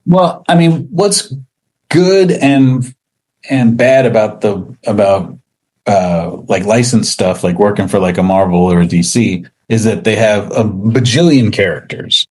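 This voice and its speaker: Low-voiced man chatting casually in an interview. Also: a little slow, a little mellow, breathy edge. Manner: rambling, frequent "like" and "you know". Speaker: comic book writer being interviewed.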